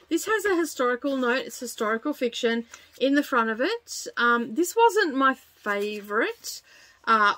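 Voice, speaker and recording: A middle-aged woman with a fairly high voice presents a book vlog in a casual, chatty way. The sound is dry and close, with little room echo. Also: a bit bright. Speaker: book channel host.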